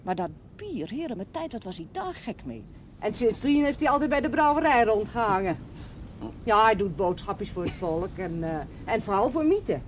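A woman's voice: medium pitch, very animated, moderate pace, natural voice. An actress playing a chatty, forthright countrywoman who gossips bluntly and with plenty of exclamation. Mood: amused storytelling. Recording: noisy old TV drama soundtrack.

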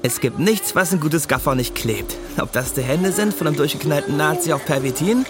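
A man's voice: a little high, a little fast, over music, natural voice. A man playing a cocky young small-time crook who narrates his own radio drama story, breezy, boastful and wry.

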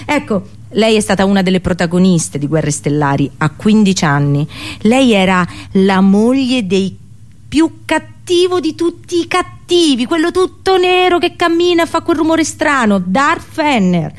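A woman's voice: fairly high pitch, lively intonation, unhurried. A woman performing a comic theatrical monologue for radio, colloquial and sarcastic, full of exaggerated rhetorical questions.

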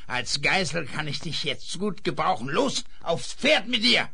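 A ruthless Western outlaw in an old radio drama, with a high, husky voice that swings widely. He sneers and threatens, leaning on his words at an unhurried pace. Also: big pitch swings, sharp tone, heavily acted. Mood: sneering menace. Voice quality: raspy, gravelly. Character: ruthless, menacing male Western villain, cursing outlaw. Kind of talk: 1970s German radio play acting. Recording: vintage vinyl radio play recording.

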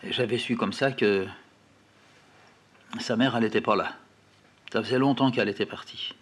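A man telling a first-person childhood memory in a plain, unhurried, quietly reflective way. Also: mid-range voice, husky. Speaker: storyteller. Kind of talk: first-person memoir narration.

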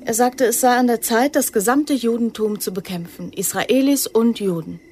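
A woman's voice: on the high side, normal speed, a little mellow, breathy edge. Guarded, earnest young woman recruit. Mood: subdued, recounting. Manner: terse, restrained answers. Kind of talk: radio crime drama acting.

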